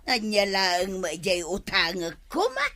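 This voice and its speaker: Cheeky, bright-voiced village gossip woman. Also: mid-range voice, big pitch swings, heavily acted. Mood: complaining. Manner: exaggerated, drawn-out comic delivery. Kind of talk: comic radio drama acting.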